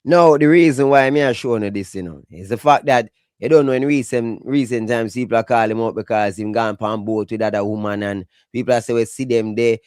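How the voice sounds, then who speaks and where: A man with a Jamaican accent giving casual, emphatic commentary on dancehall news in lively patois. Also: mid-range voice, brisk, smooth, dry recording, studio quality. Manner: emphatic, animated patois talk.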